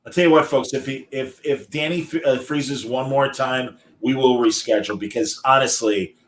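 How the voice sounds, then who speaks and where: A man hosting a live online interview over a video call, enthusiastic and quick to praise. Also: mid-range voice, fairly even intonation. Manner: enthusiastic, effusive. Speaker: host. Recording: roomy echo.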